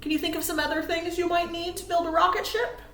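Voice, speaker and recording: A woman reading aloud to small children as a storytime host, cheerful and expressive. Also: very high-pitched, fast, a little hiss or hum. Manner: cheerful, expressive, asks listeners questions. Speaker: children's librarian hosting storytime. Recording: roomy, echoey sound.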